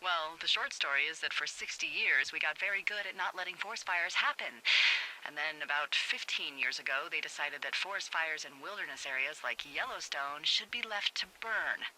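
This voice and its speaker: A woman's voice: sits low, normal speed, pushed and bright, poor audio. A woman playing a wry, teasing American fire lookout in a naturalistic video game, chatty and sardonic, heard over a two-way radio. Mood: sardonic, explanatory.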